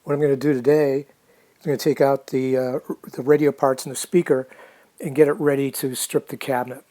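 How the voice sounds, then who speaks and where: Male hobbyist narrator: relaxed, dark-toned, fairly monotone, unhurried and informal, talking through his own work. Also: mid-range voice. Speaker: hobbyist restorer narrating a project. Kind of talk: casual DIY narration.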